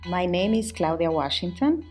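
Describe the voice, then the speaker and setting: A middle-aged woman from El Salvador, a designer, answering interview questions in a candid, unhurried way. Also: lowish voice, expressive, darkish tone, slightly rough, soft background music. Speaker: guest, furniture and interior designer.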